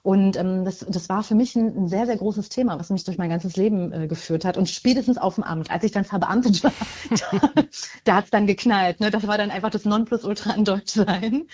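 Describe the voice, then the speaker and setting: Woman, middle-aged, mellow tone, conversational interview answers, hesitant and reflective, slightly lo-fi recording. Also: medium pitch, fairly quick, husky. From Germany. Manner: reflective, hesitant, repeats words for emphasis. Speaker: interviewed book author.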